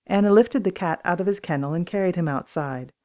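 A woman's voice: lowish voice, brisk, a little mellow, highs cut off. A woman reading scripted children's story narration as an audiobook reader.

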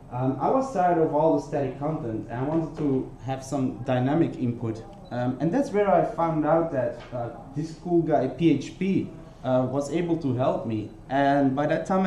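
Young Belgian-accented male speaker, mellow and slightly high, earnest, halting with ums, in a roomy hall with faint hiss. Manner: many ums and restarts, earnest. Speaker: conference speaker. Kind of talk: conference talk. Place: conference hall. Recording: echoey hall with faint noise.

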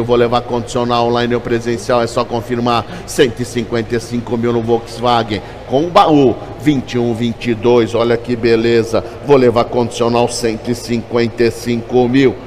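A Brazilian man calls a vehicle auction, rattling off rising bids in a quick, repetitive chant. His voice sits at an ordinary middle pitch for a man. A faint hum of the hall runs beneath him. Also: steady tone. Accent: Brazilian Portuguese. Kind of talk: rapid vehicle auction calling.

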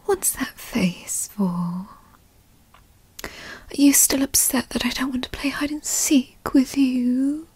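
Breathy, whispering possessive girlfriend, acted by a woman. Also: high-pitched, steady tone, takes it slowly, darkish tone, dry recording, heavily acted. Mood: doting, teasing. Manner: coaxing, teasing, pet names. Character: doting, possessive, dominant yandere girlfriend, motherly and coaxing. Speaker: ASMR roleplay performer. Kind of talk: whispered ASMR roleplay.

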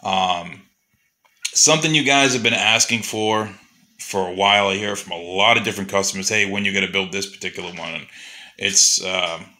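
A man's voice: low voice, moderate pace, sharp tone. A man who makes custom lures, talking loosely to his customers in a workshop with lots of fillers. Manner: loose and chatty, many fillers. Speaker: custom lure maker. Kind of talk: casual shop update to customers. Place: indoor workshop.

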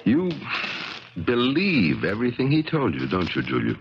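An actor playing a suspicious, forceful man in a 1970s radio drama, speaking insistently and emphatically. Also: mid-range voice, huge pitch swings, moderate pace, faint music behind. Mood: accusing. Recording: dull, crackly 1970s radio recording.

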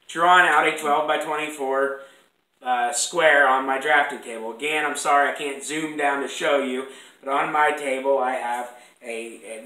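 A man with a cold-roughened, hoarse voice talks through his woodworking steps in a loose, self-correcting way. His tone is bright and forceful, a little higher than most men's. The sound bounces around an echoey shop room, picked up by a camera across the bench. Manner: rambling, self-correcting, apologetic asides. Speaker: woodworking instructor. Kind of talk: casual workshop demonstration. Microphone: fixed camera across the workbench.

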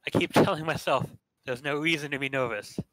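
A man's voice: on the high side, fairly quick, fairly bright tone, no echo, low-quality recording. Man narrating in a dry, close recording. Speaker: narrator in a staged reading. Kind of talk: casual dramatic read-aloud of visual novel narration.

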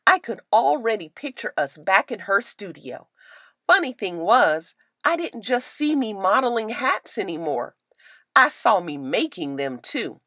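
A woman narrating a children's book aloud in an expressive, carefully enunciated storytelling style. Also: mid-range voice, a little slow, no echo, clean studio sound.

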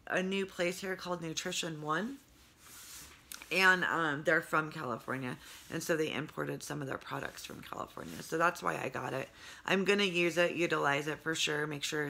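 Hoarse, congested woman in her 40s, bright tone, rambling daily video diary into a close phone camera. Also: deep voice. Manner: rambling, many fillers, talks with her hands. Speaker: vlogger. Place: home room with low sloped ceiling. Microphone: phone camera close up, earbud headset.

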